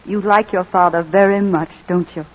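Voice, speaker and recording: A woman's voice: fairly low pitch, fairly even intonation, normal speed, natural voice. A woman playing a tender, anguished mother in a 1940s radio drama. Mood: tender, hesitant. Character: tender, anguished mother pleading with her estranged son. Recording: muffled old 1940s radio recording.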